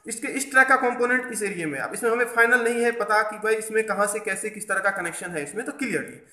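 A man's voice: sits high, fast talker, bright, forceful tone. A man narrating a hands-on repair tutorial as an instructor, explaining step by step and mixing in English technical terms. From India. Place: indoors at a repair workbench. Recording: echoey room, faint background noise.

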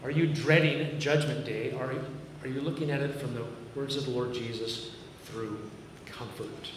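Massachusetts-accented pastor in an echoey hall. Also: male voice, mid-range voice, fairly even intonation, normal speed. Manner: earnest, conversational preaching with dry self-deprecating humor. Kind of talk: sermon. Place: large church auditorium stage. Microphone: podium mic, filmed by a far camera. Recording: echoey hall from far off with noise.

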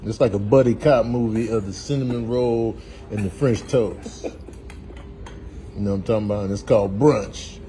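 A man giving comedic, casual commentary in an emphatic, slangy, animated manner. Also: on the low side, moderate pace, slight background noise. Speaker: comedian doing voiceovers and food vlogs.

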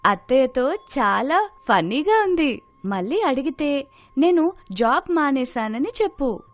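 Woman playing a breezy high-pitched young wife. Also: sing-song, measured pace, no echo. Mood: breezy, casual. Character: spoiled, breezy rich young daughter-in-law.

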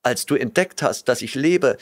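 A man's voice: mid-range voice, lively intonation, moderate pace, voice pushed up, lightly acted. A man putting on a pleading, terrified young woman. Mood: fearful. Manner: pleading, whimpering, breathless. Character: terrified young woman, a trembling false oracle.

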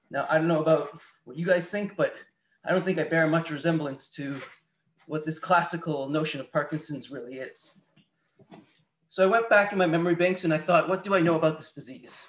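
A man's voice: on the high side, fairly bright tone. A Canadian man in his thirties giving an open, reflective seminar presentation in a conversational way over a video call. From Canada, near Toronto. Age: 30s. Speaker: guest speaker, patient advocate. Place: small room indoors, on a video call. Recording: dull lo-fi video call audio.

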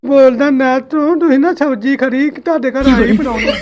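A man's voice: very high-pitched, normal speed. A man with a Punjabi accent making a prank phone call as a vegetable seller, pleading and insistent. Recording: phone call with faint noise.

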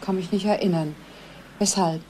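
A woman's voice: lowish voice, steady tone, moderate pace, warm tone, natural voice, rough recording. Actress as guarded, polite married woman. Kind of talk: German radio crime drama acting. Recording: faint background noise.

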